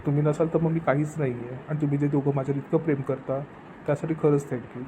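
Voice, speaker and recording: A man's voice: on the high side, steady tone, fast talker, dark tone, close to an everyday voice, rough recording. A man playing a cheerful, devoted father in a cartoon family comedy, speaking in an affectionate, earnest way. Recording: clear background noise, ambience.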